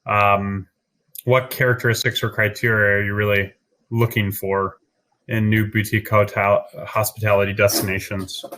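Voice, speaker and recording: A man's voice: on the low side, little pitch movement, moderate pace, sharp tone. A man hosting a conversational podcast interview. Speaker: podcast host.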